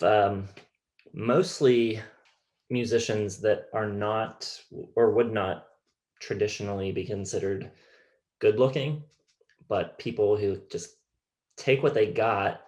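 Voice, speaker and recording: Slightly low-voiced man, casual and measured. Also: darkish tone. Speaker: music show co-host. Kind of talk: casual chat.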